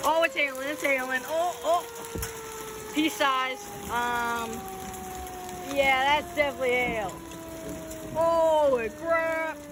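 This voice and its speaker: High-pitched exclaiming woman, noisy storm clip. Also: lively intonation, slow pace, pauses often. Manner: exclamatory, amazed. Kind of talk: spontaneous home-video reaction.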